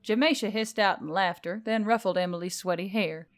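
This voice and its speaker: A woman's voice: mid-range voice, fairly quick. A woman reading scripted fiction as an audiobook narrator. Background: music.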